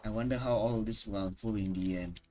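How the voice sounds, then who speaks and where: A man's voice: fairly low pitch, steady tone, fast talker, noisy background. A man streaming live reaction commentary on a game, dramatic and repeating phrases for emphasis. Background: faint game music.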